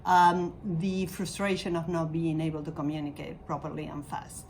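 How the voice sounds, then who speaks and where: A woman who learned later, reflecting in a measured way on her experiences. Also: sits low, little pitch movement, darkish tone, slight background noise, low-quality recording. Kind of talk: personal account.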